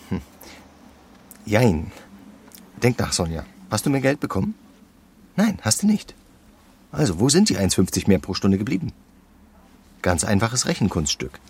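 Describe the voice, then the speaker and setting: An actor playing a pushy, blunt activist in a radio drama, husky and insistent, talking in a colloquial, prodding way. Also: male voice, lowish voice, darkish tone, a little hiss or hum. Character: pushy, blunt male activist coaching a young woman. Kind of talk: naturalistic radio drama acting.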